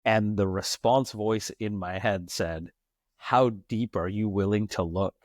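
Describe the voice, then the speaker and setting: A man's voice: on the low side, measured pace, a little mellow, dry recording, clean studio sound. An American man speaking as a podcast guest, telling stories in an emphatic, philosophical way and building his points in long runs. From United States. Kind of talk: reflective conversational storytelling.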